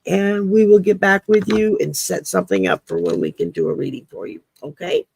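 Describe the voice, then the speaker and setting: A woman's voice: mid-range voice, fairly even intonation, brisk, vocal fry, darkish tone, husky. A woman co-hosting a livestream, chatting in an upbeat way as she thanks the viewers and signs off. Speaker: co-host. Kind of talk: casual livestream sign-off.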